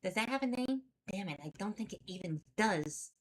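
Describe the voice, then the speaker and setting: A woman's voice: mid-range voice, lively intonation, normal speed, a little hiss or hum, natural voice. A woman voicing an exasperated, stubborn, sarcastic heroine who narrates a horror webcomic in the first person. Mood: wry, wondering. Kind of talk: dramatic first-person comic readalong narration.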